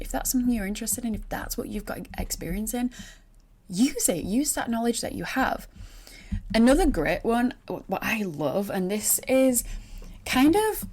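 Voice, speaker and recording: A woman's voice: on the low side, a little mellow. A young woman with a Yorkshire accent talks in a chatty, encouraging way, coaching teachers and often restarting her phrases with fillers. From northern England. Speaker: online business coach for teachers. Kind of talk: solo talk to camera.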